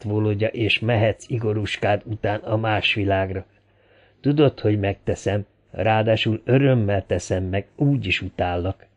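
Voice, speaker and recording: A cool-headed gunman from an adventure audiobook , played by a male narrator. He is contemptuous and sardonic, with menace in his threats, on a somewhat muffled recording. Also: on the low side.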